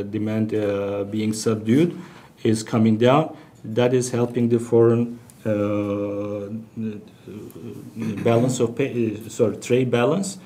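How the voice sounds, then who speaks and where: A man presenting financial results as a bank executive, speaking in a halting, hesitant way with searching pauses. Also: fairly low pitch, warm tone. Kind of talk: business presentation, unscripted financial briefing.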